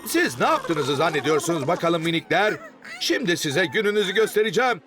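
A man's voice: a little high, sing-song, a little fast, a crowd in the background. A man voicing a bold, bossy superhero dog in a slapstick cartoon, exclamatory and commanding. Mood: scolding, commanding. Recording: music under the voice.

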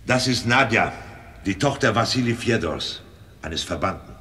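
An actor playing a brave, resolute Russian courier of the Tsar in a German radio play, solemn and declamatory. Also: male voice, on the low side, lively intonation, normal speed, pushed and bright. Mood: solemn, composed.

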